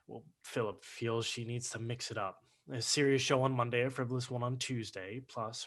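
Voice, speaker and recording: A man's voice: medium pitch, fairly bright tone, lightly acted. A man acting a brainy, sardonic young son with a dry, wry manner, in a staged play reading on a video call.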